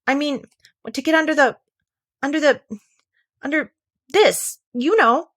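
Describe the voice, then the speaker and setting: A woman's voice: high-pitched, unhurried, fairly bright tone, voice pushed up. A woman voicing a timid, thoughtful little girl from a children's storybook, hesitant and musing aloud to herself. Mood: puzzled. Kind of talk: children's storybook character reading.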